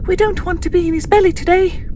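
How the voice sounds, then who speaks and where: A tiny, panicked storybook fish with a very high, shrill squeal. The voice is loud and frantic, with noise clearly behind it. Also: fairly even intonation, fast talker. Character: small terrified fishes, squealing and panicked. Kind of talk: children's storybook character voice.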